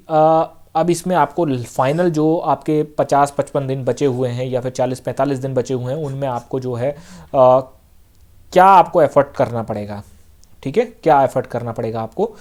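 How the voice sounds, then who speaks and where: Fairly high-voiced man coaching exam students. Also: a little mellow. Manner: direct, repetitive coaching, frequent "theek hai" check-ins. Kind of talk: casual exam-prep advice talk.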